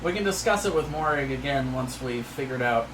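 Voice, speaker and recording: A man's voice: medium pitch, expressive, moderate pace, crowd noise. A man playing a tabletop game, talking things over casually and carefully, often repeating the start of a phrase. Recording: roomy echo, background noise.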